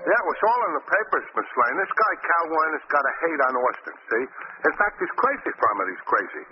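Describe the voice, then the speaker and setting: An actor playing a simple, good-hearted cab driver, slangy and fumbling, in 1940s radio style. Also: male voice, fairly high pitch, big pitch swings, brisk, loud music behind the voice. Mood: gossipy. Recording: muffled, noisy old radio recording.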